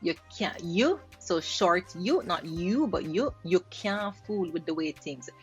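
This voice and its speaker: A woman's voice: on the low side, lively intonation, slow, soft background music. Jamaican woman linguist explaining sounds. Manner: repeats words to demonstrate sounds, laughs lightly. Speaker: linguist host. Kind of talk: explaining pronunciation while reacting to clips.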